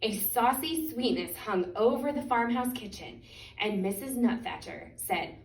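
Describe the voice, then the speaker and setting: A woman reads a picture book aloud for children in an expressive, deliberate way, stretching out key words. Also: fairly high pitch. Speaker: children's story reader. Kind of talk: animated picture-book storytime reading. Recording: echoey room, distant microphone.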